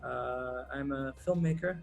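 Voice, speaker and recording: A man's voice: mid-range voice, unhurried, gravelly, soft background music. Laid-back man, filmmaker guest. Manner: relaxed, rambling, many ums and uhs. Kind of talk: casual interview answers.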